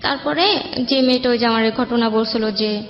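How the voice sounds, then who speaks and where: A Bangladeshi woman telling her personal life story as an interview guest, in halting, colloquial speech that often repeats itself. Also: a little high. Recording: echoey room, dull sound, faint music.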